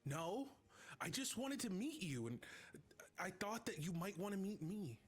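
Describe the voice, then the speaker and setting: A man playing a naive, excitable grown man raised as an elf in a live comedy table read, earnest and blunt. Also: high-pitched, measured pace, husky, faint music behind, full character voice. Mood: hopeful, naive.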